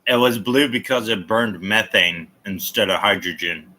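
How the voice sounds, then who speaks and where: A man's voice: on the low side, moderate pace, bright, forceful tone. A man chatting casually on a livestream, rambling between topics and answering viewer comments in a blunt, opinionated way. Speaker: livestream host.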